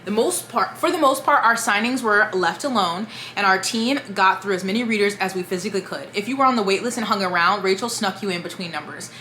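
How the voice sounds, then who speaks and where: Book channel host, woman, reads a statement aloud at a quick clip, roomy echo. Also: mid-range voice, soft background music. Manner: brisk, steady read-aloud delivery. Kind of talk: reading a written statement aloud.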